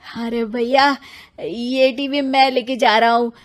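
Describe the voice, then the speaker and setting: Cartoon younger brother female performer: quarrelsome, timid grown man, excitable, raised pitch. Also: takes it slowly. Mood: boastful, defiant. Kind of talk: Hindi moral-story cartoon dubbing.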